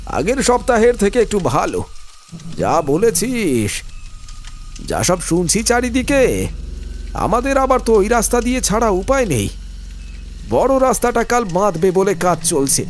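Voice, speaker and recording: A friendly, wary village trader uncle from a cartoon ghost story, acted by a man. His voice is very high for a man and swings freely, familiar and colloquial, with faint noise behind. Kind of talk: animated ghost story dialogue.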